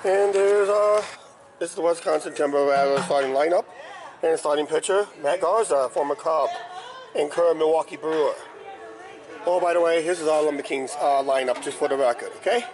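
A middle-aged man with a slightly hoarse voice, chatting casually and ramblingly as a vlog host, recorded outdoors on a handheld camera. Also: fairly high pitch, brisk, a crowd in the background. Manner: rambling, repeats "uh, basically". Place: outdoors, roadside and ballpark. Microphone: handheld camera at arm's length. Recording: clear outdoor traffic and ambient noise.

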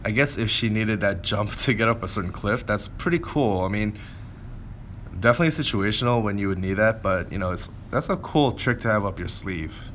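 A man's voice: fairly low pitch, expressive, brisk, a bit bright, slight background noise. A man giving casual gameplay commentary, informally thinking aloud as he plays. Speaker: gameplay video creator.

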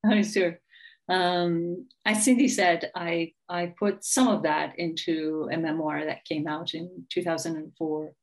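A woman's voice: lowish voice, fairly even intonation, a little slow. A middle-aged woman from Iran, an author talking as a guest over a video call, thoughtful, with many fillers and restarts. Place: home room with bookshelf.

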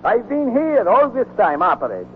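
An actor playing an excitable, affectionate man in a 1940s radio drama. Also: very high voice, normal speed, heavily acted. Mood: fond, excitable. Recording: tinny, noisy 1949 radio broadcast recording.

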